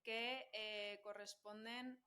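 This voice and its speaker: A woman's voice: mid-range voice, little pitch movement, slow pace, sharp tone. A woman with a Madrid Spanish accent, a linguist guest explaining patiently in a casual podcast chat, with frequent fillers and laughter. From central Spain.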